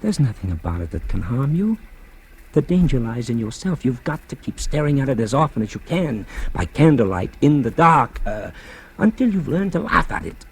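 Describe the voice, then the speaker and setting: An actor playing a firm, paternal doctor with an admonishing, measured manner in 1940s radio drama style. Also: male voice, mid-range voice, very animated, breathy edge, low-quality recording. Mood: urgent, firm. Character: firm, paternal doctor treating a fearful patient.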